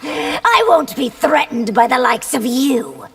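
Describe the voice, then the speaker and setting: An actress playing a proud, fierce young empress in a video game, commanding and indignant. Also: female voice, high-pitched, lively intonation, slow, vocal fry, fairly bright tone, full character voice.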